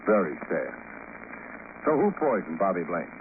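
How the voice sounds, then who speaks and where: A man's voice: low-pitched, big pitch swings, normal speed. An actor playing a wry, world-weary American private investigator in a 1950s radio drama, dry and clipped in his delivery. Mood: probing, wry. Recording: muffled, noisy 1950s radio recording.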